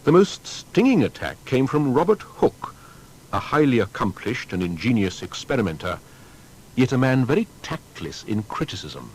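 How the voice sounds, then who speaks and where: A man's voice: mid-range voice, very animated, moderate pace, slightly rough, poor audio. A man narrating a scripted biographical documentary in a dramatic, emphatic storytelling manner.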